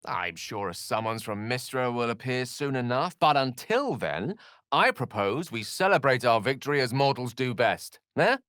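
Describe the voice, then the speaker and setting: A man's voice: medium pitch, big pitch swings, normal speed, a bit bright, no echo. A man voicing a courteous, self-assured wizard companion in a video game, articulate and wryly theatrical. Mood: celebratory. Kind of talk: video game character voice lines.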